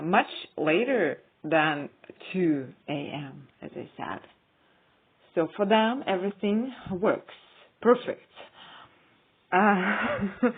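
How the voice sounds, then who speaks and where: Slow, halting woman on tinny audio. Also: fairly low pitch. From Ukraine. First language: not English. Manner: hesitant, halting with frequent pauses. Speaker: vlogger. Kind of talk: casual screen-recording commentary.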